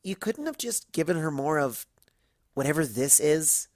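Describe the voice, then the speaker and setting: A sensible young cartoon mare, voiced by a man, weary and dry. The pitch is lifted above a man's talk, with deadpan exasperation suited to a storybook. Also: moderate pace, dry recording. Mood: exasperated.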